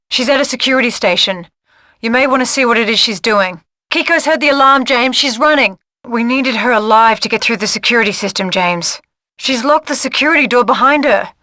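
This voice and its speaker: A woman playing a watchful intelligence agent guiding a spy in a video game with crisp, cautioning briefings. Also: on the high side, sharp tone, no room sound, clean studio sound. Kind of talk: video game mission guidance.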